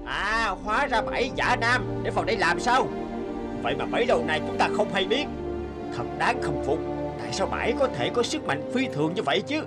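Cartoon builder, slightly high, really expressive, faint music behind. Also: male voice, fairly quick. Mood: astonished. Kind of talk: animated morality tale dubbing.

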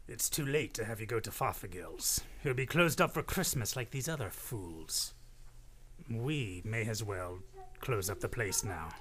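A man's voice: fairly low pitch, lively intonation, measured pace, a bit bright, breathy edge, exaggerated voice. An actor playing a cold-hearted old miserly businessman, curt and scornful, in an old-time radio drama style. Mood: impatient.

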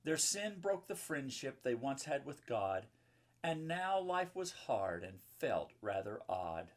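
A man's voice: medium pitch, sing-song, slow pace. Male, 50s, pastor type with a mid-range voice, reading and explaining simply for young listeners. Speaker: pastor and children's book author. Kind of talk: children's story reading with chatty asides. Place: indoor book-lined room at home or office.

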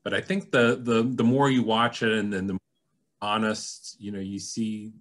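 A middle-aged man giving earnest, halting praise on a panel over a video call. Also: on the low side. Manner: earnest, animated hand gestures, halting. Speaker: panel moderator at a museum. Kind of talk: panel discussion comment.

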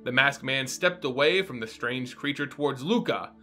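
A man's voice: medium pitch, moderate pace, sharp tone. A man in his thirties hosting and narrating stories dramatically, with a theatrical, teacher-like address to his listeners. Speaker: host and story reader. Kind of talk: dramatic story narration and explainer. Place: small home room, indoors. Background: music.

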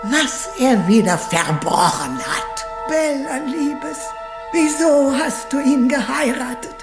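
A woman playing an imperious dead aunt speaking at a séance in a German crime radio drama, with slow, theatrical, accusing delivery. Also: high-pitched, expressive, exaggerated voice. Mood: scornful. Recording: echoing, with music underneath.

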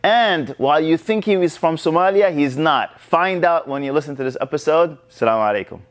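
A middle-aged man hosting an interview show, firing off quick questions in an upbeat way. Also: fairly high pitch, expressive. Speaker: show host. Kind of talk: rapid-fire interview questions. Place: studio room. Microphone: lapel mic.

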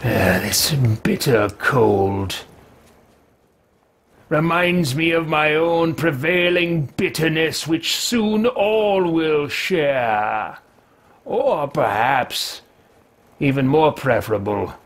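A man's voice: a little high, animated, extremely slow, heavily acted. A man in his thirties voicing an arrogant, bitter, power-hungry wizard in a video game roleplay, speaking in a pompous, theatrical, archaic manner. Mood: bitter, menacing. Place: small home room, indoors.

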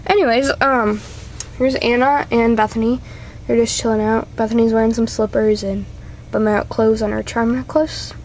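A woman's voice: fairly high pitch, fairly even intonation, normal speed, darkish tone. A young woman giving a casual, rambling show-and-tell tour at home, giggly, self-deprecating and apologetic, recorded on a handheld camera's own mic. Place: small carpeted room at home. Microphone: handheld camera's built-in mic. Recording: noisy handheld amateur camera audio.

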